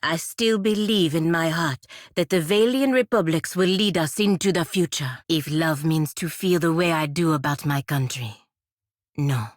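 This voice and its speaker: Woman acting a stern patriotic warrior, low female voice, formal, earnest, measured, very dry recording, fantasy game. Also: no echo, lightly acted. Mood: earnest, devoted. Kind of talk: scripted video game character dialogue.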